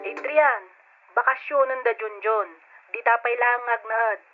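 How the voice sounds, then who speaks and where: An actress playing a concerned, caring older sister in an old radio drama, speaking in a solicitous, emotional manner. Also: female voice, on the high side, unhurried, faint music behind, natural voice. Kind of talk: old-time radio drama acting in Ilocano. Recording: thin phone-like radio drama sound.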